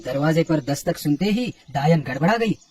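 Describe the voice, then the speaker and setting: A man's voice: a little high, animated, moderate pace, darkish tone, slightly rough. A man narrating a scripted horror story for children.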